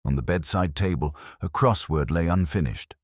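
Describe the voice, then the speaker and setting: A man narrating a literary detective story in the first person, with a measured, reflective delivery of scripted prose. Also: low-pitched, no echo, studio quality.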